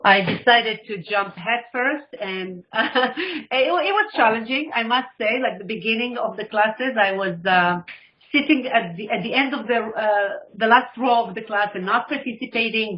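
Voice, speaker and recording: A woman's voice: fairly high pitch, moderate pace, slight background noise. A middle-aged woman from Israel, a life coach speaking as a radio guest, telling her story with animation and acting out what people said. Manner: animated storytelling, acts out quoted dialogue. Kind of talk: casual interview talk. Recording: dull lo-fi audio.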